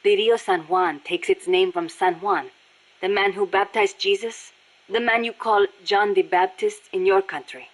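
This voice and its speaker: A woman's voice: mid-range voice, fairly even intonation, a little slow, close to an everyday voice, rough recording. A woman voicing a passionate, embittered Nicaraguan Sandinista guerrilla with a Spanish accent in a video game, speaking in a solemn, earnest way. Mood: gentle, calm. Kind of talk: scripted video game voice acting.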